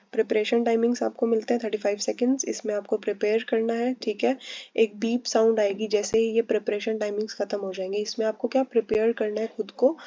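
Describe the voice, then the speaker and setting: A woman's voice: mid-range voice, dark tone, no room sound. Woman tutor, mixed Hindi-English explanations. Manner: explains patiently, with frequent "theek hai" and "like" check-ins. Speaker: PTE English test tutor. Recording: dull lo-fi sound.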